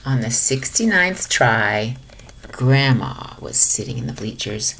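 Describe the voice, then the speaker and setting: A woman reading a children's picture book aloud in an expressive manner, sometimes dropping to hushed tones. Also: extremely low pitch, takes it slowly, a little hiss or hum. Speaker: storybook reader for a children's lesson.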